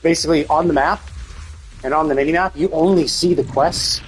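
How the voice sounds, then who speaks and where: A man's voice: a little high, a little fast, faint music behind, rough recording. A man, live streaming casual game commentary in an informal, profane, explanatory and opinionated way.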